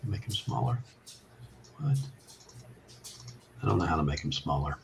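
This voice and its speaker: A man with a slightly low voice narrates a tabletop game as game master. He speaks haltingly and thinks aloud as he goes. There is faint noise on his online call. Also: sing-song, creaky.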